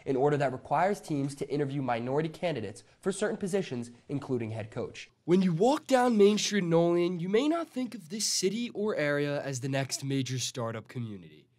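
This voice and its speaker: A man's voice: fairly high pitch, sing-song, brisk, hardly any pauses, a little mellow, dry recording. Young American man reporting student TV news. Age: young adult.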